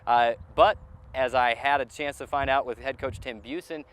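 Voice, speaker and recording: A man's voice: medium pitch, steady tone, normal speed, a bit bright, clear, resonant tone. A man hosting a sports show, presenting team updates in a scripted broadcast style outdoors at a stadium.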